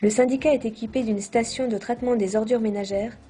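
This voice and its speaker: A woman reading a scripted documentary narration in a measured, formal manner. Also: on the high side, fairly even intonation, warm tone, husky, soft background music.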